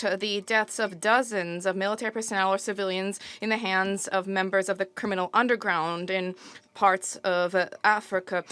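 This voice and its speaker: A woman interpreting a formal diplomatic statement live, in a halting, stop-and-start delivery with self-corrections. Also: medium pitch, fairly bright tone, clear, resonant tone. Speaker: simultaneous interpreter.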